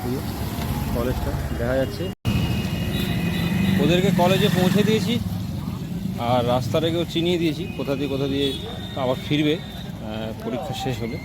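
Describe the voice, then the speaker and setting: A man's voice: a little high, lively intonation, normal speed, pauses often. A man narrating a casual travel vlog, chatty and repeating himself as he describes his surroundings. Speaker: vlogger. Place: outdoors on roads and in moving vehicles. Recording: very noisy outdoor traffic and vehicle noise. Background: loud vehicle and street noise.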